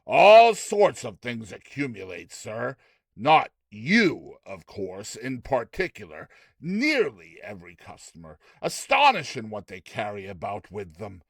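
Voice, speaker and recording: Casting: smooth, obsequious male shop owner played by a male reader, faintly sinister showman, swooping delivery, dry close recording. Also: fairly low pitch, huge pitch swings, measured pace, no echo, exaggerated voice. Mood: unctuous, musing. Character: suave, smiling, faintly sinister male magic shopkeeper. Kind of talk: dramatized storybook reading.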